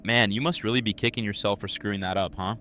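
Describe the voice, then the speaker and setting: Guy acting a needling henchman, low voice, quick n sarcastic, slightly noisy radio play. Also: fairly even intonation, pushed and bright. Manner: sarcastic, blunt. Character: mocking, needling male henchman at game table. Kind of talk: audio drama acting.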